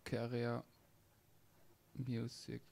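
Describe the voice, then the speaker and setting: A man presenting a step-by-step software demonstration in a halting, piecemeal way. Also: mid-range voice, completely flat delivery, vocal fry. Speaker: tutorial presenter. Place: indoor screencast voice-over.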